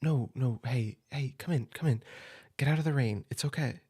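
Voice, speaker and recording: A man playing a caring older brother who shelters a younger sibling, soft-spoken and reassuring, sometimes dropping to a whisper, in a comfort audio roleplay. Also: mid-range voice, moderate pace, breathy edge, voice pushed up, natural voice. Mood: alarmed, welcoming. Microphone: home desk mic.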